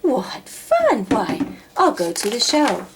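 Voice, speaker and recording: A woman's voice: fairly high pitch, wildly swooping pitch, takes it slowly, background noise, exaggerated voice. A woman reading a children's storybook, voicing a lazy, flighty, indignant bird who gasps and sputters. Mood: gleeful. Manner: gasping, sputtering, screaming. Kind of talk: children's storybook read-aloud.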